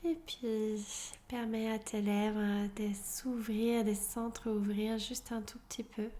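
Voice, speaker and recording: A woman leading a guided relaxation as a yoga nidra teacher, soothing and unhurried, often in a breathy half-whisper. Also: mid-range voice, level tone, dark tone. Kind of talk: guided meditation, soft-spoken relaxation instruction.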